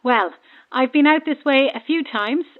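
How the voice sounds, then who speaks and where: Thin, narrow-band marine radio carries a stranded Irish woman boater. She is fairly high-voiced, breezy and self-deprecating, chatting in an audio drama style. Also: a little slow. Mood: breezy, chatty. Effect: boat radio filter. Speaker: caller from a stranded boat. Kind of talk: conversational horror audio drama acting.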